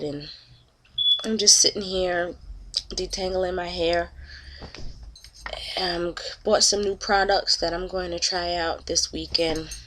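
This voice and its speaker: A woman's voice: on the low side, a bit bright. A woman in her thirties with a cold, sounding congested and nasal, chatting and gossiping to camera from home. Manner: chatty, openly disgusted commentary. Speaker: vlogger. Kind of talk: casual gossip chat. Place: at home, indoors.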